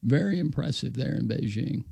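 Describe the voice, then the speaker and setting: Gravelly sarcastic man on sports radio. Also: mid-range voice, animated, moderate pace, mellow, dry recording. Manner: sarcastic, wisecracking. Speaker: co-host. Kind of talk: casual sports radio banter.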